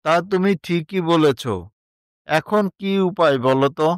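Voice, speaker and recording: A man's voice: a little high, a little slow, smooth, no room sound, studio quality, natural voice. A man playing a kind, practical village suitor in a moral story, speaking earnestly and encouragingly.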